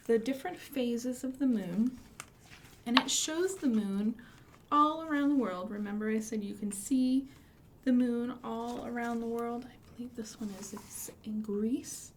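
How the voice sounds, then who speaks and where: A woman's voice: on the high side, a little hiss or hum. A woman hosting a storytime for young children, reciting rhymes and presenting books with simple questions and prompts.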